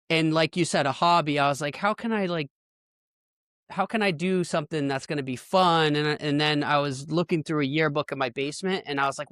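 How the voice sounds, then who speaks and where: A man with a clean, smooth voice hosts a casual podcast conversation. He speaks informally and pokes fun at himself, recorded dry with almost no room sound. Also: fairly high pitch, a bit bright, no room sound. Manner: informal, self-deprecating, sprinkles in "like". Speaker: podcast host.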